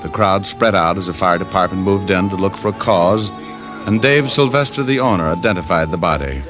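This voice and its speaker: An actor playing a wry, world-weary private detective in a hardboiled old radio drama. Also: male voice, low voice, brisk, music in the background, natural voice. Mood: grim, narrating. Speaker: lead actor and narrator.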